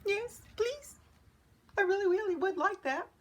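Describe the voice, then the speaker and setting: A woman's voice: very high voice, animated, measured pace, a little hiss or hum, pitched above the performer's own voice. A woman voicing a storybook big bad wolf, male, well-mannered but easily hurt, with dramatic, emphatic delivery. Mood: grateful.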